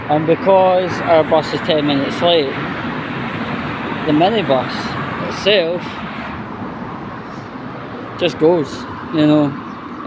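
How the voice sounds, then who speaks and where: A man with a Glasgow-area Scottish accent chatting off the cuff in a casual outdoor vlog, with blunt, sweary grumbling. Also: a little high. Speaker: vlogger presenting a walking video. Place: outdoors by a roadside bus stop. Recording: outdoor street noise with passing traffic. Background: traffic and street ambience.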